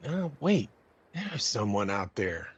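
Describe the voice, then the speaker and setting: An actor playing a gruff, sardonic man on a yacht in a radio drama, blunt and slangy. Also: on the low side, sing-song, takes it slowly, dull audio, exaggerated voice. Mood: puzzled. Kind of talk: audio drama acting.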